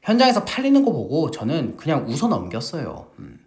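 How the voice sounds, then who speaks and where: A middle-aged man runs a horse racing tips show with emphatic, salesman-like drive. His fairly high voice swings up and down with lively intonation. He is just getting over a sore throat. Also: brisk. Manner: lively, emphatic salesman-like delivery, addresses viewers as family. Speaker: horse racing tipster host. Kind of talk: energetic betting-tips broadcast.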